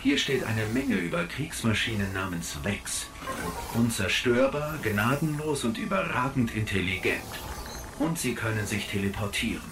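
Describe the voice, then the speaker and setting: A man playing a small, wry and curious robotic AI companion in a radio play, speaking in an analytical, expressive way. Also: mid-range voice, moderate pace, lightly acted. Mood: explanatory. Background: music.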